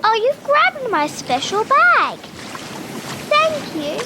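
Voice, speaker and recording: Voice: extremely high pitch, very animated, exaggerated voice. A performer playing an earnest, kindly, innocent young schoolgirl in an old-fashioned radio serial comedy. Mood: delighted, friendly. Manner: innocent, slow, wide-eyed. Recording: loud seaside ambience and noise.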